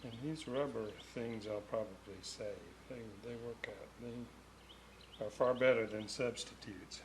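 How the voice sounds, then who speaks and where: Man muttering through workshop repairs, noisy recording. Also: mid-range voice. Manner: unhurried muttering in short phrases while working. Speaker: radio restorer hosting a repair video. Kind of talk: casual hands-on workshop narration.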